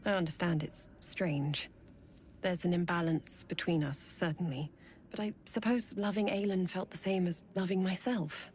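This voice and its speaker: An actress playing a grieving young woman brought back from death in a fantasy video game, speaking in a slow, hushed, halting way. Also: lowish voice, fairly even intonation, slight background noise, lightly acted.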